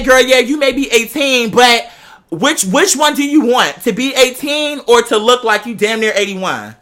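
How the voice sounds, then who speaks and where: Bright-voiced, sassy American vlogger. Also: mid-range voice. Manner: sassy, slangy, emphatic, repeats phrases for effect. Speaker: vlogger addressing patrons. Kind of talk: casual gossipy storytelling.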